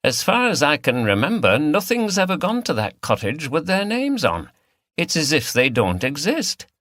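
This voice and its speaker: Guy doing hesitant chatty village postman, higher bright voice, audiobook style. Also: huge pitch swings, moderate pace, no room sound, clean studio sound. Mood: unsure, puzzled.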